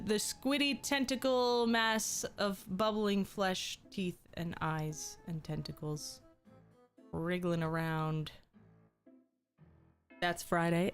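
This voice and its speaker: A young woman streamer chatting casually with her viewers, a little sick and losing her voice, with frequent ums. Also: lowish voice. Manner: chatty, reads and answers chat, frequent ums. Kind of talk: casual livestream chat.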